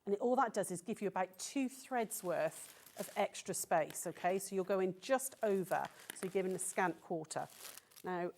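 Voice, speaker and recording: Croaky woman teaching quilting. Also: medium pitch, moderate pace, a little mellow, a little hiss or hum. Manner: patient step-by-step instruction with frequent "okay?" checks. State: croaky from a cold. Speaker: quilting demonstrator. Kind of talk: sewing demonstration. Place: TV studio.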